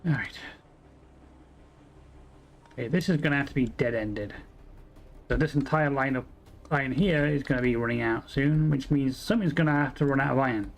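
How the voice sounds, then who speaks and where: A man's voice: medium pitch, normal speed, vocal fry, long pauses. A man from Wales with an English accent, chatting casually and swearing freely while playing a factory-building game, often putting himself down. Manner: swears freely, self-deprecating, muttering to himself. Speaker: game streamer. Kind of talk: casual gameplay commentary.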